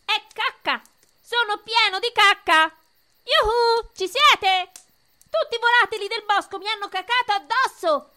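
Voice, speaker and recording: A woman's voice: very high voice, a little slow, sharp tone, full character voice. A woman playing a mischievous marble boy, clownish and pleading, in a theatrical Italian radio play. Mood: manic, clownish. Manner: theatrical, exaggerated.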